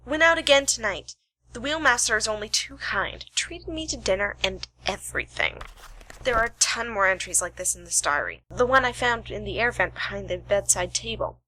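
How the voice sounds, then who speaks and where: A woman's voice: on the high side, expressive, moderate pace, sharp tone, slight background noise, low-quality recording. A woman playing a keen, analytical amateur detective in an amateur radio play. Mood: excited.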